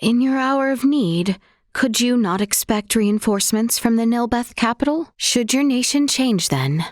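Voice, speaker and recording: A woman voicing a gentle, earnest young royal woman in a video game, speaking in formal, old-fashioned courtly language with hesitant politeness. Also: a little high, expressive, measured pace, dry recording, clean studio sound. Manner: formal, archaic courtly diction. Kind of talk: scripted video game character dialogue.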